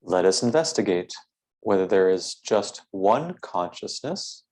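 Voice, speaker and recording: Slow, deep-voiced man guiding a meditation. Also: animated, darkish tone. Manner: measured, deliberate, formal phrasing with pauses. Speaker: meditation guide. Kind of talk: guided meditation, philosophical inquiry.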